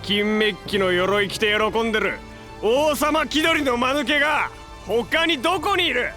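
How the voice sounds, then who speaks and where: An actor playing a hot-blooded, defiant young hero in an audio drama, brash and emotional with rough, slangy delivery. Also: male voice, extremely high pitch, animated, a little slow, sharp tone, soft background music, heavily acted. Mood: cocky, taunting. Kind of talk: Japanese audio drama acting.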